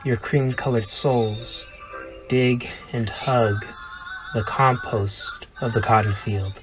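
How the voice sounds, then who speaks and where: A man delivering a spoken-word poetic tribute in short, deliberate phrases. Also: medium pitch, steady tone, low-quality recording. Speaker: grandson giving a tribute. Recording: music clearly behind the voice. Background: music.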